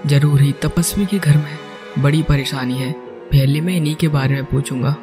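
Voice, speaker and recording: A man's voice: on the high side, fairly even intonation, moderate pace, close to an everyday voice. A man playing a respectful, curious priest in a cartoon story, polite and inquiring. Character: curious, respectful Brahmin visitor seeking answers. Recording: music behind the voice.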